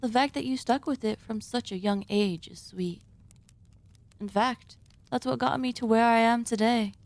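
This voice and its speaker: A woman playing a polite, sinister female cannibal surgeon hosting dinner in an ASMR roleplay, speaking in formal, deliberate phrases. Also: a little high, vocal fry, a little mellow, no echo. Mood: wistful, confiding. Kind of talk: ASMR roleplay, scripted monologue addressed to listener.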